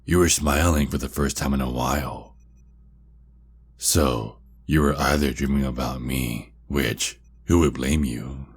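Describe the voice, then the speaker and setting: A man playing a taunting, sinister centuries-old vampire kidnapper in an ASMR roleplay, with a breathy, husky voice and slow, mocking menace. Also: a bass voice, steady tone, exaggerated voice. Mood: smug, soothing menace.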